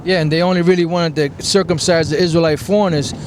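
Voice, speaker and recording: A man preaching outdoors, slowly reading scripture verses aloud and then explaining them. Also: fairly high pitch, fairly even intonation, a little hiss or hum.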